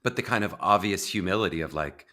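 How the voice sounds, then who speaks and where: A man's voice: low voice, fast talker, pushed and bright. A man hosting a podcast interview, asking long, rambling, eager questions full of fillers. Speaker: podcast host. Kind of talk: casual interview.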